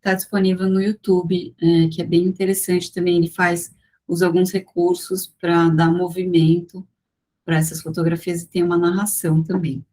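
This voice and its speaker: A woman giving an academic talk over a video call, measured and full of small fillers and restarts as she walks through slides. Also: fairly low pitch, level tone, dark tone. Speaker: guest lecturer.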